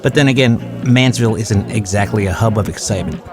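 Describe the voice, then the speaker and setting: Man acting as small-town dad, horror audio drama narrator, lowish voice, folksy, measured, conversational, wistful, music underneath. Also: natural voice. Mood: reflective, measured. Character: ordinary small-town husband and father, wistfully recounting eerie memories. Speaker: first-person narrator.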